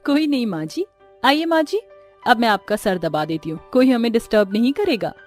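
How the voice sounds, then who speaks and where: Harried, high-voiced young daughter-in-law. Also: female voice, big pitch swings, faint music behind. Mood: obliging, harried. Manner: obliging, hurried.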